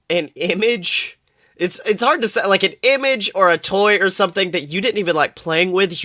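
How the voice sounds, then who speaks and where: Bright-voiced young woman swearing through casual chat. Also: fairly low pitch, no room sound, clean studio sound. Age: young adult. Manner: animated storytelling, swears freely, acts out quotes. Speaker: podcast co-host. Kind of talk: casual comedic podcast chat.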